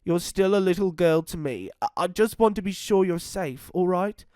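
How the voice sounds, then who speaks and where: A fretful, protective storybook mother, gentle but firm, as a narrator lifts their voice for her. The lines sit a bit above the reader's usual pitch, lively in tone and recorded dry and close. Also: moderate pace, no echo, lightly acted. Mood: worried. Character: anxious, caring mother.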